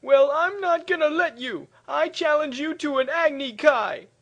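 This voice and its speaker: A hot-headed teenage villain prince from a cartoon parody, acted by a man. His high voice is whiny and petulant, and his threats come out awkward. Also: unhurried, fairly bright tone, dull audio, heavily acted. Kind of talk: comedic cartoon parody dub.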